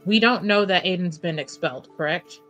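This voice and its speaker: A woman playing a tabletop roleplaying game, casually musing out loud over what her character should do. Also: lowish voice, fairly quick, faint music behind.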